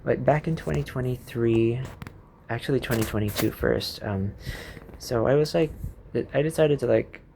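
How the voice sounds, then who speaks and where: A man's voice: medium pitch, gravelly, background noise. A young man from the Philippines with a Filipino accent, rambling unscripted in a vlog, hesitant and full of restarts. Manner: hesitant, many fillers and restarts, slow. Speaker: YouTuber.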